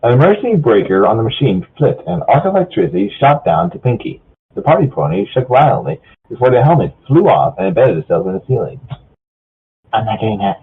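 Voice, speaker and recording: A man with a husky voice narrates a story informally, stumbling over lines and rereading them. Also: medium pitch, sing-song, fast talker. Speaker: narrator of a group fan fiction reading. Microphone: online voice chat. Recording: muffled, phone-like voice chat audio.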